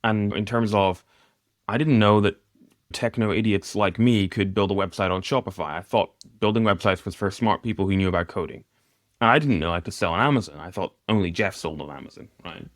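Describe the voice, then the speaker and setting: A man in his thirties from Northeast Scotland, with a mixed mid-Atlantic accent with a light Scottish edge, talking casually as a podcast guest, self-deprecating and quick to laugh. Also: lowish voice, dry recording, studio quality. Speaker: guest mentor.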